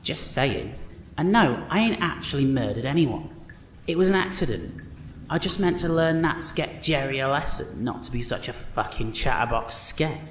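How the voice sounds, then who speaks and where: A coarse, bullying British crook played by a man, mid-pitched with big swings. He is slangy, aggressive and full of self-pitying bluster. The voice rings in an echoey room, in comic fantasy audio drama style. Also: expressive, moderate pace, close to an everyday voice. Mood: defensive, callous. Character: crude, bullying jailed criminal, male, self-pitying braggart. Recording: echoey room, background noise.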